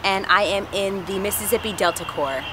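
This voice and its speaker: A woman's voice: medium pitch, moderate pace. A woman of twenty-five, a trainee teacher, introducing herself to camera over background noise. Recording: noisy ambient recording.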